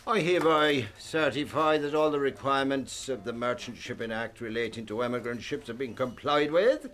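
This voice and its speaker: A man acts a chatty Irish port officer in radio drama. He is officious and gossipy, speaking at a middling pitch. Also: normal speed, fairly bright tone, close to an everyday voice. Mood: brisk, formal.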